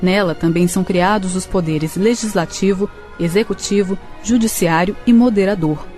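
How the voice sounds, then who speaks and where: A woman narrating a scripted radio drama in a measured storytelling manner. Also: mid-range voice, dark tone, soft background music.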